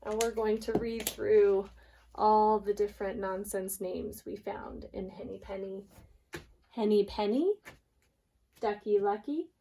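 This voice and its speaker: A woman preschool teacher reading aloud and leading a lesson, deliberate and expressive for small children. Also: mid-range voice, a little hiss or hum, poor audio.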